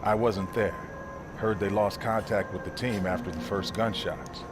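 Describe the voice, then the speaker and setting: An actor playing a seasoned, weary soldier in a video game, speaking in a wry, matter-of-fact way. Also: male voice, fairly low pitch, steady tone, normal speed, music in the background, natural voice. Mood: grim, matter-of-fact. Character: seasoned, weary male soldier telling grim past missions. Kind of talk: video game cutscene acting. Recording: loud vehicle and outdoor noise behind.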